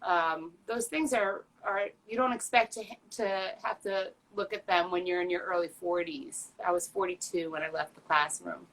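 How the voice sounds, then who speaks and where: A woman's voice: on the low side, steady tone, normal speed, few pauses, a bit bright, low-quality recording. A woman in her fifties talking candidly as an interview guest, earnest and chatty, with frequent fillers and restarts. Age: 50s. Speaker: patient advocate guest.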